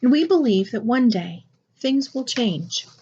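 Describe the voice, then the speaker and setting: Storybook role: gentle but determined mom read by a woman, slightly dull recording. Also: on the high side, lively intonation, unhurried, slightly rough, pitched above the performer's own voice. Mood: hopeful, resolute. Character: gentle, resolute mother. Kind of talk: picture book read aloud.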